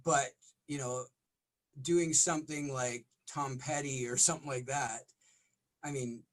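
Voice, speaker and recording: A middle-aged man, a rock vocalist, talking in a casual interview with a smoky, raspy voice and lots of restarts. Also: mid-range voice, fairly even intonation, measured pace. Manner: rambling, frequent restarts and fillers.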